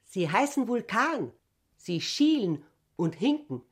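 A woman's voice: on the high side, big pitch swings, takes it slowly, slightly rough, full character voice. A woman playing an educated, imperious schoolteacher in a radio play, lecturing in a declamatory way. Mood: scornful insistence. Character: educated, imperious, fanciful prison-cell schoolteacher.

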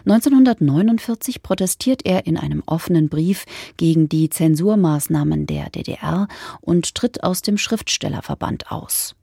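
A woman presenting on radio, reading a scripted introduction in a measured, factual way in a studio. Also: lowish voice, vocal fry, mellow, slightly rough, no room sound. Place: dry broadcast studio.

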